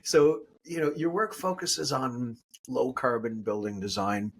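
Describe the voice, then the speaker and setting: Male podcast host, middle-aged, husky mid-pitched voice, friendly and joking. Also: a little slow. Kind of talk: podcast interview.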